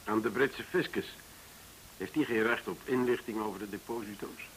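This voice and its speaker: A man's voice: on the low side, normal speed, a bit bright, slight background noise, rough recording. An actor in a Dutch radio play playing a cautious, probing businessman, considering things with murmured acknowledgements. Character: businesslike male gold-buying client, cautious and probing.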